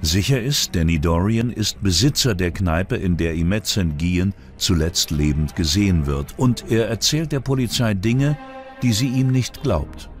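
A man's voice: sits low, moderate pace, soft background music. A man reads scripted true-crime documentary narration.

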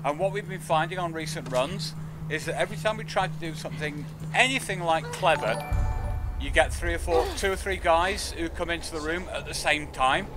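A man giving live gameplay commentary as a streamer, grumbling and explaining haltingly. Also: a little high, over music. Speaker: game streamer. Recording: game gunfire and noise behind.

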